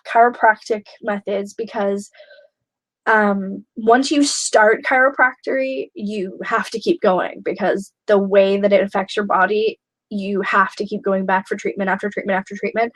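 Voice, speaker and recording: A young woman hosting a live question-and-answer chat, talking candidly with many pauses and fillers, a little snuffly from a flu. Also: mid-range voice, dry recording, low-quality recording. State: snuffly, getting over a flu. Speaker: host of a live question stream.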